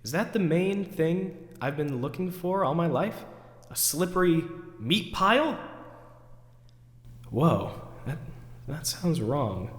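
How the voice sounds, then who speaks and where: A man's voice: fairly high pitch, animated, takes it slowly, pauses often. A man acting out the theatrical internal monologue of an overwrought, neurotic man who questions himself, recorded in an echoey hall. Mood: searching, unsure. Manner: ranting, then talking himself down. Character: overwrought, neurotic man agonizing over forgotten groceries, self-questioning. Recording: echoey hall with long reverb.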